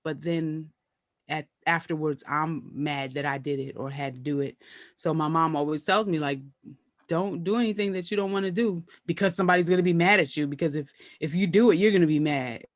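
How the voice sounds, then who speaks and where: A woman's voice: low-pitched, fairly quick, dry recording, studio quality. A woman from Brooklyn with a Brooklyn accent, a comedian guest chatting casually and animatedly, often laughing and slipping into voices when she quotes people. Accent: Brooklyn New York. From Brooklyn, New York. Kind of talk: casual chat.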